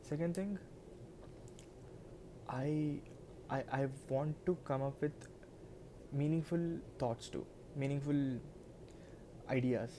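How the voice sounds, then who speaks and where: A man's voice: a little high, takes it slowly, pauses often, mellow, a little hiss or hum. A man in his twenties whose first language is Telugu, talking unscripted to a camera in a hesitant way, with many restarts and repeated words. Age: 20s. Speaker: vlogger.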